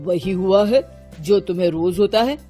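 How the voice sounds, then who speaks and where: A woman's voice: medium pitch, measured pace, dark tone, faint music behind, close to an everyday voice. A woman voicing a reproachful older mother-in-law who chides in a cartoon family story. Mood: demanding, chiding. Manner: chiding.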